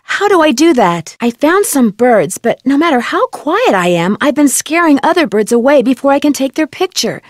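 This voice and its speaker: A woman playing a curious, polite young amateur detective in an adventure game, speaking in an earnest, clearly enunciated, inquisitive way. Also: high voice, sing-song, normal speed, hardly any pauses, no room sound, studio quality. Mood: earnest, inquiring. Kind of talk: scripted adventure game dialogue.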